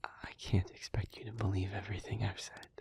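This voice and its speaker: A man playing an earnest, analytical demon in an intimate audio roleplay, speaking in a hushed, breathy, measured and deliberate way. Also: lowish voice, little pitch movement, whispered. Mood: pleading, tender. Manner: measured, deliberate, halting, formal wording. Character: earnest, analytical male demon, gently devoted and restrained.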